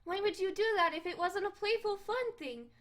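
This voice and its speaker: Woman putting on a bouncy, teasing cat girl, squeaky and loud, fan reading. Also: animated, a little fast. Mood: indignant. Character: playful excitable young cat girl.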